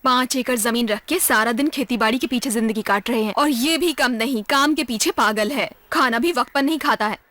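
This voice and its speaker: A plain-spoken housewife in a household film drama, with a high, bright, piercing voice. She talks in a nagging, domestic way. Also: a little fast, hardly any pauses, no echo. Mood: chiding.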